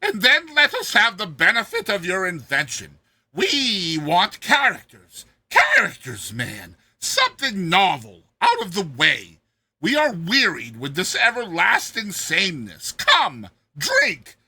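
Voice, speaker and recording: A man's voice: huge pitch swings, slow pace, pushed and bright, slightly rough, dry recording, heavily acted. A man voicing a cruel, mocking, boisterous tyrant king in a theatrical, roaring storybook reading. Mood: commanding, jovial. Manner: booming, theatrical, roaring, imperious.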